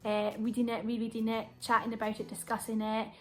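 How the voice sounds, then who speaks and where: A woman with a fairly high, very even voice recounts recent work. Soft music plays beneath her. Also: brisk. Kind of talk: behind-the-scenes interview narration.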